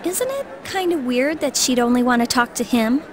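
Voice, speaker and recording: A woman voicing a perky, teasing high school girl in a video game, casual and expressive. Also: normal speed, slight background noise. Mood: curious. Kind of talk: scripted game voice acting.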